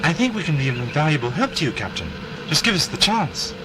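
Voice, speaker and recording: A man's voice: fairly high pitch, big pitch swings, fast talker, noisy background. A man playing an eccentric, cheeky time-travelling alien doctor in an audio drama, theatrical and whimsical. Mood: earnest.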